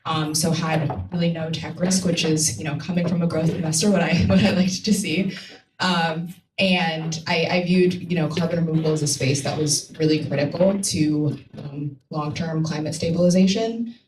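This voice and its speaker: A woman's voice: low voice, fairly even intonation, moderate pace. A woman explaining things conversationally as a guest on a panel, with casual asides. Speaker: panel guest, climate company employee. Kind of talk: casual spoken panel talk. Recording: echoey room, distant mic, faint noise.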